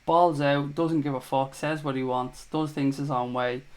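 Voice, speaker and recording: A man's voice: medium pitch, steady tone, normal speed. Sweary man hosting a livestream. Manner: sweary, blunt, jokey. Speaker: host of a live fan chat show. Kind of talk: casual livestream chat reading comments.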